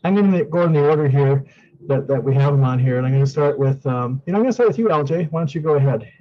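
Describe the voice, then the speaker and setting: Older man, co-chair hosting a video call; halting, filler-heavy, dull lo-fi sound. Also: fairly high pitch. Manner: hesitant, many fillers. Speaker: co-chair and meeting host. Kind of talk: casual webinar hosting.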